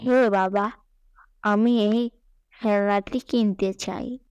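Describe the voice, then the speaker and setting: A woman voicing a mischievous, stubborn little boy in a children's cartoon, with a slow childlike delivery. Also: medium pitch, fairly even intonation, a little mellow, clean tone, dry recording, highs cut off, lightly acted. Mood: eager.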